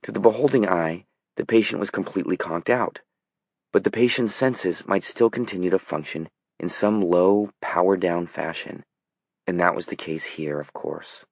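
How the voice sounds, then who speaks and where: A man's voice: low voice, normal speed, dry recording, lo-fi sound. A man narrating scripted dramatic fiction as an audiobook reader.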